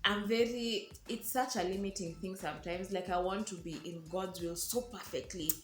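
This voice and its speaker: A young Kenyan woman talks through a personal story in a chatty, earnest vlog voice. She sounds like she is in an echoey room, with soft music under her. Her pitch sits in the middle. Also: moderate pace. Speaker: vlogger. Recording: roomy echo with faint music.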